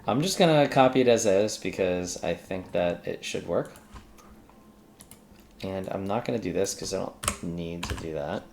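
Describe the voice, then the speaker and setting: Casting: tutorial host, low register with vocal fry, informal think-aloud delivery over a screen recording, faint noise and typing. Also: expressive, moderate pace. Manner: informal, exclamatory asides to self and software.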